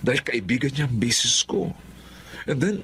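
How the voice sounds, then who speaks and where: A man's voice: mid-range voice, lively intonation, normal speed, pushed and bright. A man playing a loyal, uneasy husband in a Filipino drama, speaking in an earnest, conversational way. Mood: troubled. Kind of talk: Filipino radio-style drama acting. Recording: faint background noise.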